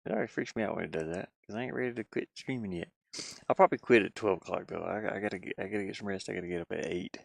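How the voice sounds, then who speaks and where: A man's voice: sits low, racing, vocal fry. A man live streaming game design work, rambling and musing casually as he hesitantly thinks aloud.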